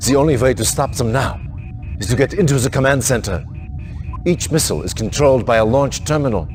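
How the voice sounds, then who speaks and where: An actor playing a stern, commanding Allied officer giving clipped, deliberate briefings in a video game cutscene. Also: male voice, medium pitch, animated, darkish tone, soft background music, close to an everyday voice. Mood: urgent, commanding.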